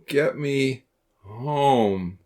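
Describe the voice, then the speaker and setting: A woman's voice: a bass voice, extremely slow, a little mellow, pitched below the performer's own voice, exaggerated voice. A woman voicing a groggy, injured teenage boy in an audiobook, mumbling thickly and groaning. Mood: groaning, pleading.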